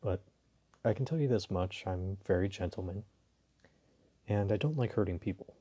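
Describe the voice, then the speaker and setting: A man playing a polite, flirtatious and dominant mafia boss in an intimate audio roleplay, talking to the listener in an unhurried, teasing way. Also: low voice, expressive, dark tone.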